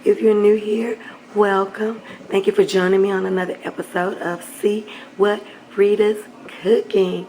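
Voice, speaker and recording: A hoarse middle-aged woman chatting warmly to viewers while cooking in her home kitchen, often clearing her throat. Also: medium pitch, little pitch movement, unhurried, low-quality recording. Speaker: cooking channel host. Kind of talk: casual cooking vlog chat.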